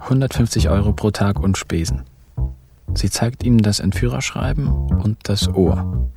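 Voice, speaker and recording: A wry crime-story narrator. His lowish male voice is dry and laconic with an ironic edge, set over prominent guitar music in a radio play. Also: animated, a little slow.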